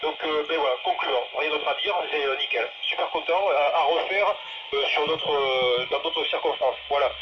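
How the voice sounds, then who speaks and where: A man's voice: a little high, moderate pace. A man in a casual, slangy chat as an amateur radio operator, speaking through a two-way radio. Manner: informal, slangy. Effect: two-way radio transmission. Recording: noisy, muffled two-way radio audio.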